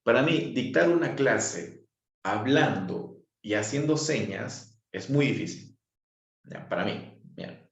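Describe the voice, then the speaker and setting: A man lectures students in a low voice, laying out his points one step at a time. He keeps tossing in short tag questions to check that they follow. The sound is roomy and a bit muffled, like a lo-fi classroom recording. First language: sign language. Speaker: sign language teacher. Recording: echoey, dull lo-fi sound.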